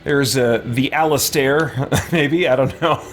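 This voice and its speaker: A man's voice: mid-range voice, takes it slowly. A man doing casual let's play commentary, thinking aloud in a tentative, musing way as he plays. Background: game music.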